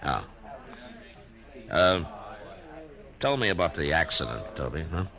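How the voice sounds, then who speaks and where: A man playing a steady, laconic frontier marshal in an old radio western, slow and hesitant with many pauses. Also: very deep voice, gravelly, a crowd in the background, exaggerated voice. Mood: probing. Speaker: narrator and lead character. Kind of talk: 1950s radio western acting.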